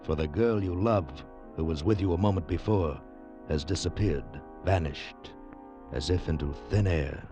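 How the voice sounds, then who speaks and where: An American man delivers dramatic old-time radio narration in a very low voice. His phrasing is grave, deliberate and suspenseful. Music plays clearly behind him on a dull vintage recording.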